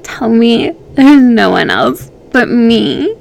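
A woman's voice: a little high, expressive, takes it slowly, vocal fry, exaggerated voice. Woman playing obsessive, unstable young lover. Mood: angry, pleading. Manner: swings between hushed whispers and loud demands. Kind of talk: audio roleplay.

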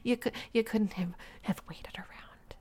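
A woman acting out a bossy, scolding young girlfriend in an audio roleplay, slipping between hushed whispers and loud outbursts and laughing mockingly as she talks. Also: mid-range voice, measured pace, slightly rough. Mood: domineering. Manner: frequent laughter while talking.

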